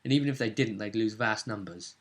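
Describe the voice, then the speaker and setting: A man voicing a young female elf assassin in a tabletop game, firm and measured, giving polite warnings. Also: lowish voice, lightly acted. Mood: calm warning.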